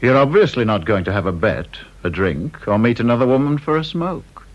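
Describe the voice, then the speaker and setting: An actor playing a sardonic, talkative senior security interviewer, British, with a dry, teasing, mock-polite manner in radio drama style. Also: male voice, mid-range voice, expressive, a little fast, lightly acted. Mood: dryly teasing. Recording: dull, muffled old radio recording.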